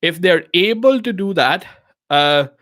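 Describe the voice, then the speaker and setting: Man, Urdu first language, live-stream host, casual advice, slightly high voice, lots of restarts. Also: expressive, measured pace, a bit bright. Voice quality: non-native English phrasing. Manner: conversational, many fillers and restarts, asks "you know what I mean". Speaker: channel host answering live questions.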